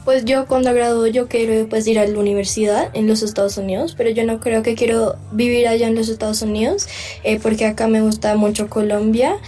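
A woman's voice: on the high side, fairly even intonation, fairly quick, dark tone. Teen girl stumbling thru answers, mic far away, faint music. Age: teens. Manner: hesitant, many fillers and restarts. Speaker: student being interviewed. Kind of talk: casual interview answers.

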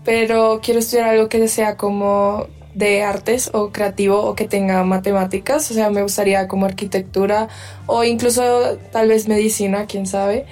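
A teenage girl from the Dominican Republic answering interview questions in an even, thoughtful way. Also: mid-range voice, moderate pace. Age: teens. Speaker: student being interviewed. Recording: distant mic with faint music.

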